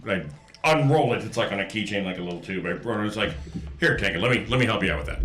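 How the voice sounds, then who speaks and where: A man's voice: fairly low pitch, lively intonation, fast talker, fairly bright tone, slight background noise. A man chatting casually as a player in a tabletop role-playing game, describing what his character does.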